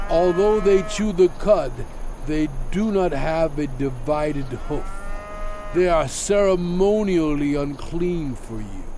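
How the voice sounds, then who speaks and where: A solemn lawgiver in an audio scripture drama, with a slightly high male voice. He delivers each instruction extremely slowly, in measured, separated phrases. Noticeable ambient noise sits behind him. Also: darkish tone, full character voice. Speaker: narrator of a dramatized audio Bible.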